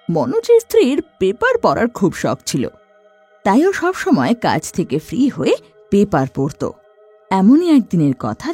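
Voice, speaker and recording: A woman narrating a horror story in a lively storytelling manner. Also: on the low side, breathy edge.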